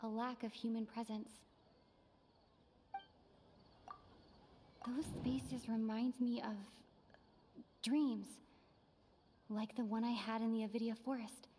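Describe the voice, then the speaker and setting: A woman voicing a gentle, wise young girl goddess in a video game, calm and soft-spoken. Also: fairly high pitch, unhurried, slight background noise, natural voice. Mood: thoughtful.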